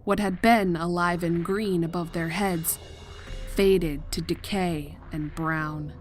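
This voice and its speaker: A woman reading a scripted horror story as narrator, in a measured and deliberate dramatic style. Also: fairly low pitch, fairly even intonation, slight background noise. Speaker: fan fiction narrator.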